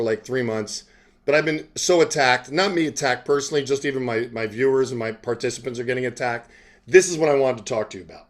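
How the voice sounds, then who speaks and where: A man hosting an online interview, talking casually and earnestly about his own views in a rambling, halting way. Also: mid-range voice. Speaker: interviewer and online show host. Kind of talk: casual chat with personal testimony.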